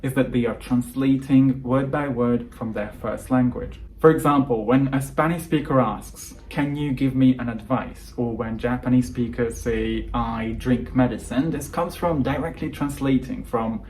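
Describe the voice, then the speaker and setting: A young man, a native Hungarian speaker, coaching English learners through calm, earnest advice in a scripted monologue. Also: medium pitch, moderate pace, few pauses, a little hiss or hum, rough recording.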